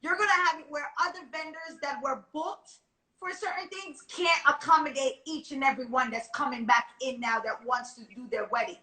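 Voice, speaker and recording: A woman's voice: sits high, fairly even intonation, a little fast, sharp tone. A woman hosting a casual live video chat, speaking in an emphatic, rallying way. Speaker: host. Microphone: video call. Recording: echoey room.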